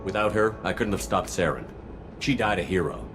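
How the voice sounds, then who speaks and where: An actor playing a stoic, resolute military commander in a video game, measured, direct and wary. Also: male voice, low voice, music in the background, natural voice. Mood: sombre. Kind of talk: video game drama acting.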